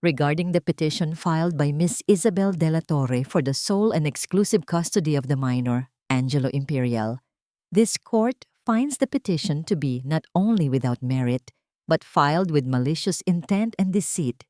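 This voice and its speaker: A woman's voice: sits low, lively intonation, moderate pace, warm tone, dry recording, studio quality. A woman voicing a stern, impartial judge who formally pronounces rulings in an audio story.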